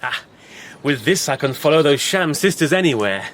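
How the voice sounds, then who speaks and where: An actor playing a cheeky young Victorian man who poses as a reporter, chatty and quick to laugh. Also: a little high, expressive, fairly bright tone, slight background noise. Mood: eager. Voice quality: eager, chatty, laughing easily.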